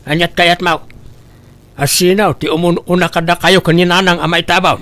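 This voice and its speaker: A man's voice: high voice, flat delivery, normal speed, fairly bright tone. A man playing a stern man who lectures a greedy woman about her wrongdoing. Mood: scolding. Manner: scolding, moralizing. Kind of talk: amateur comedy audio drama.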